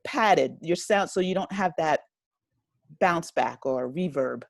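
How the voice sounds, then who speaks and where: A woman's voice: fairly low pitch, expressive, takes it slowly, vocal fry, no echo, studio quality. A woman speaking casually as an interview guest, modest and self-deprecating, laughing easily. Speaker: interview guest, audio drama writer and director.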